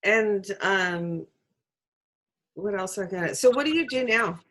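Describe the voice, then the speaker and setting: A woman acts as host of an informal interview. Her voice sits at a medium pitch, and she talks in a casual, conversational style. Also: takes it slowly, a bit bright.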